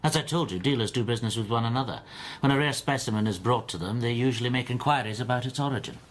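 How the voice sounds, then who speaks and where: An actor playing a timid foreign stamp dealer in a radio drama, speaking softly and brooding. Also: male voice, medium pitch, fast, close to an everyday voice. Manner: soft, subdued, brooding.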